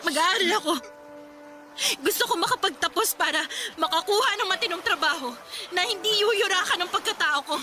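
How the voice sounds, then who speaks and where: High-pitched, weary young Filipino woman. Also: brisk, over music. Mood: weary resolve. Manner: emotional, weary, vehement. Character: battered, bitter young woman vowing a better life. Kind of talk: Filipino radio drama acting.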